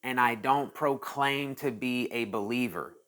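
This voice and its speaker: A man's voice: mid-range voice, measured pace, fairly bright tone. A man in his late thirties hosting his own channel, talking casually and at length in a rambling monologue, repeating phrases as he thinks aloud. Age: 30s. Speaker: channel host.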